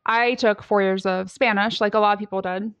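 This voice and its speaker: A middle-aged woman hosting a podcast, chatting in a relaxed way and asking open questions. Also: medium pitch, brisk, clean tone, dry recording, lo-fi sound. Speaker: podcast host. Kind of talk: conversational interview.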